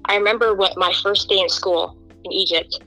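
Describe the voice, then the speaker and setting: A woman's voice: medium pitch, normal speed, soft background music, rough recording. A young woman from Kenya as an interview guest, speaking in an earnest, emphatic way. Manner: earnest, emphatic, animated when quoting others. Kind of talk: candid interview talk.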